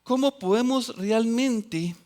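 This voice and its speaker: A man preaching a sermon as pastor, quoting scripture and acting out other people's voices, swinging between loud exclamations and quiet, low asides. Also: slow.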